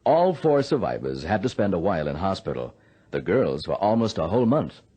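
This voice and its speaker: An actor playing an earnest air control operator, delivering dramatic radio narration. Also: male voice, low-pitched, big pitch swings, fairly quick, warm tone, breathy edge, full character voice. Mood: grave. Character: earnest male air control operator recounting a sea rescue.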